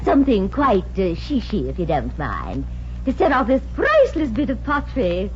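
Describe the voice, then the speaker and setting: A woman's voice: medium pitch, wildly swooping pitch, moderate pace. An actress playing an affected, haughty rich lady customer, grand and pretentious, in 1950s radio comedy. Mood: snooty, refined. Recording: dull, noisy old radio recording.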